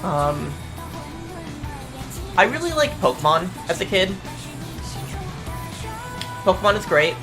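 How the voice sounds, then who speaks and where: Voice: medium pitch, very animated, slow pace, long pauses. A young adult VTuber streamer chatting casually and answering viewer questions, rambling with lots of ums and the odd swear. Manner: rambling, hesitant, many fillers, mild swearing. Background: music playing.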